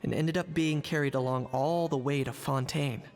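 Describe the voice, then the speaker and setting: A man playing a composed, observant investigator in a video game, narrating in a measured, analytical way. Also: a little high, steady tone, in a large hall, faint music behind, lightly acted. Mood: calm, explanatory.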